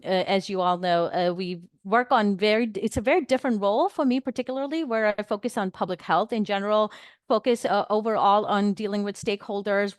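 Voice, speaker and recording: A woman from New York, a pharmacist running for a board seat, speaking on a video call in a chatty, energetic way with many fillers and restarts. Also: medium pitch, dry recording, clean studio sound. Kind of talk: online meeting speech.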